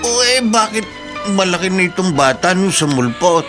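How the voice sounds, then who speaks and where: A man's voice: high-pitched, expressive, moderate pace, over music. A Filipino man playing a plain-spoken, nosy older uncle in a radio drama, folksy and inquisitive. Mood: surprised, curious.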